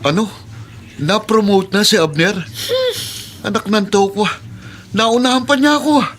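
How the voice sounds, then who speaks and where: High-pitched, grumbling Filipino office worker. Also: male voice, measured pace, a bit bright, soft background music. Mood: indignant, envious. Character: envious, grumbling young male office worker. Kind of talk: radio drama acting.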